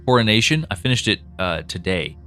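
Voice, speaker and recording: A man's voice: fairly low pitch, sing-song, fairly quick, sharp tone, soft background music. A man co-hosting a podcast and chatting casually, rambling with frequent restarts. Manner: rambling, restarts often, laughs easily. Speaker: co-host and writer.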